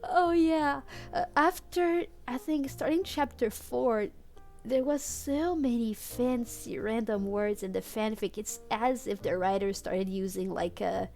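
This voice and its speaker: A woman's voice: on the high side, unhurried, darkish tone, soft background music. A woman whose first language is not English, streaming a fan fiction reading with amused, theatrical delivery and casual asides.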